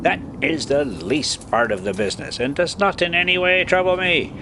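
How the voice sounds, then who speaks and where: A man's voice: a little high, sing-song, normal speed, noisy background, pitched above the performer's own voice. A man voicing an eager, single-minded scholarly uncle in an adventure storybook, with emphatic declarations. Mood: eager, confident.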